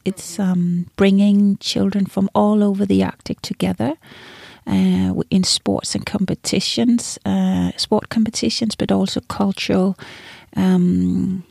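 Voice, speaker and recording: A woman's voice: mid-range voice, flat delivery, measured pace, creaky, dark tone, husky, no echo, studio quality. A middle-aged woman from Greenland, a native Danish speaker, telling her own story as an interview guest. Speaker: interview guest, executive and former politician. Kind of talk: personal career account in an interview.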